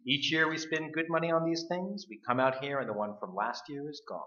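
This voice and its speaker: A man's voice: fairly high pitch, fairly quick, noisy background, lightly acted. A man playing a mocking, irreverent brother in a staged radio play, with a sardonic, taunting manner. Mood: grumbling. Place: stage, live performance. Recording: roomy, muffled stage recording.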